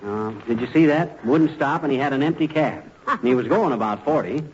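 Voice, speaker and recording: An actor playing an impatient, grumbling small-town husband in a folksy, exclamatory 1940s radio comedy style. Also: male voice, mid-range voice, expressive, brisk, close to an everyday voice. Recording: noisy, dull old radio recording.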